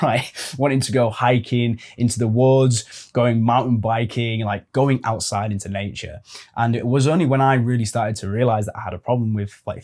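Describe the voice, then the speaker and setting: A man's voice: fairly low pitch, darkish tone. A man, talking casually to viewers with informal fillers and swearing. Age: 20s. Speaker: host. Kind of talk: casual advice talk to camera.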